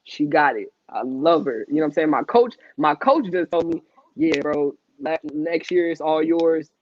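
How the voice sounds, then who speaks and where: A man's voice: a little high, moderate pace, dry recording. A man in his twenties, the guest of an interview, telling his life story in casual, profane street talk with frequent laughs. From Long Beach, California. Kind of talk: candid storytelling. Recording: dry, muffled lo-fi audio.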